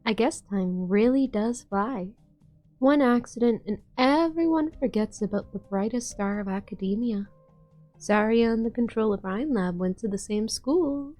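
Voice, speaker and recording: A woman playing a poised, curious and quietly scheming boss in an amateur game fan dub. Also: a little high, unhurried, faint music behind, natural voice. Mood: cool, musing.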